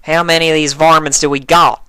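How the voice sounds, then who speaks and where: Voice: medium pitch, level tone, a little fast, sharp tone, smooth, no echo, voice pushed up, close to an everyday voice. A storyteller voicing a plainspoken female farm pony with a rustic drawl and homespun grammar. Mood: matter-of-fact. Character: folksy, plainspoken country mare farm pony.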